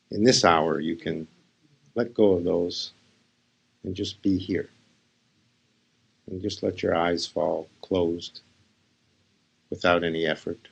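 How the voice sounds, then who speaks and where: Deep, gravelly man guiding a meditation. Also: lo-fi sound. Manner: unhurried, pausing between short phrases. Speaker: spiritual teacher. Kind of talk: guided meditation talk. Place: live session.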